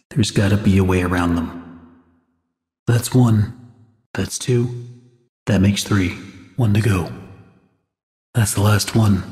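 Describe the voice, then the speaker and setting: A man's voice: fairly low pitch, a little mellow, close to an everyday voice. An actor playing a weary, wary American man exploring a haunted cartoon studio in a video game, muttering his scripted lines in an understated way. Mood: determined. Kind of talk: scripted game character dialogue. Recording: echoey game audio with long reverb.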